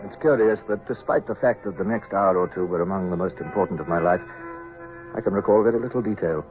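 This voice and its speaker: An actor playing a weary, proud British naval captain, narrating his past in a measured, grave English manner for radio drama. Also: low-pitched, lightly acted. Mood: grave, reflective. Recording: dull old radio recording, faint music.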